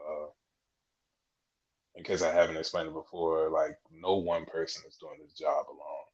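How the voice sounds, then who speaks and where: A man's voice: rumbling low, breathy edge. A man in his twenties giving casual interview answers in an explanatory, conversational way, as a guest on a podcast. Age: 20s. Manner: explanatory, conversational, frequent "you know". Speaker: podcast guest, air traffic controller trainee. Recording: dull, muffled lo-fi audio.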